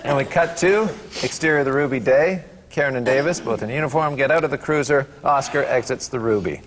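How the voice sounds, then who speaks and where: A man reads stage directions at a script read-through. His voice is medium-pitched and rings in a reverberant hall. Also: fairly quick, a little hiss or hum. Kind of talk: reading script directions aloud at a table read.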